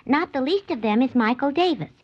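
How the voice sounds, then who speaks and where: Susie, naive lively secretary. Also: female voice, high voice, a little fast, breathy edge. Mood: reading aloud. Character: naive, chirpy, scatterbrained female secretary Susie. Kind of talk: 1940s radio comic-relief acting. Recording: dull 1940s radio recording.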